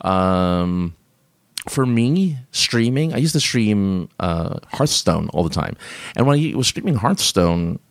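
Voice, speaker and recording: A man's voice: lowish voice, expressive, slow pace, no echo, studio quality. A man hosting a live stream, chatting casually and reading viewer comments, rambling with many fillers and restarts and laughing often.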